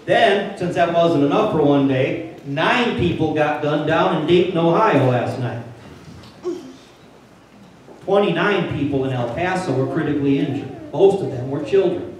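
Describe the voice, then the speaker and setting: A man's voice: on the high side, lively intonation, a little slow, far from the mic, slight background noise. Older man preaching in an echoey church. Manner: plainspoken and conversational, building to urgent emphasis. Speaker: pastor. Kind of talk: sermon. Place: large church sanctuary. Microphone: handheld mic, filmed from a distant camera.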